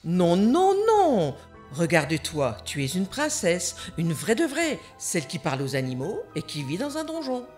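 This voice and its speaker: A woman's voice: on the low side, wildly swooping pitch, measured pace, darkish tone, soft background music. A woman voicing a pushy, scheming queen mother who talks in a bossy, theatrical way in a cartoon.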